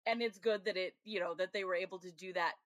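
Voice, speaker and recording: A woman's voice: on the low side, steady tone, fast, a bit bright, dry recording. A woman co-hosting a podcast, chatting casually about TV episodes, laughing often and acting out her own reactions. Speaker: podcast co-host. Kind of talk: casual TV review chat.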